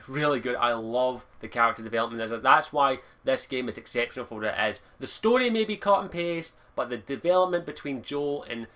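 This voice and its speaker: Young Lowland Scottish guy, game reviewer, bright and punchy, sweary and rambling. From Scotland. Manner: enthusiastic, frequent swearing, restarts and fillers. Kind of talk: casual video game review monologue.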